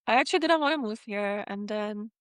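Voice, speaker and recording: A woman from Iran, a dentist guest in an interview, talking casually with many pauses and fillers. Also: medium pitch, no echo, studio quality.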